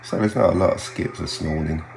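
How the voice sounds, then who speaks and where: An older man with an extremely deep voice full of creaky fry. He talks casually and haltingly, in an unhurried hobby-vlog style. The room sound is noticeable around him. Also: a little mellow, faint music behind. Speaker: radio hobbyist vlogger. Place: small home radio room, indoors. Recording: roomy sound with faint hum.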